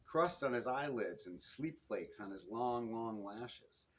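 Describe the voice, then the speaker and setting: A man reading his own detective novel to listeners, with expressive narration and the odd stumble. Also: medium pitch, slow pace. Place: public reading in a bookstore. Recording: noisy live room recording.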